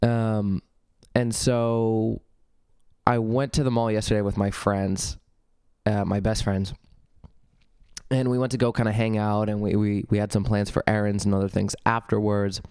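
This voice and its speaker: A young man with a low, smooth voice that a cold has left slightly gravelly talks through his own podcast. He rambles loosely, full of ums and false starts. The sound is close and dry on a studio mic. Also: level tone, a little slow, a little mellow, no echo. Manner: rambling, many ums and restarts. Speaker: podcast host. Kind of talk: casual solo podcast talk. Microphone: studio vocal mic.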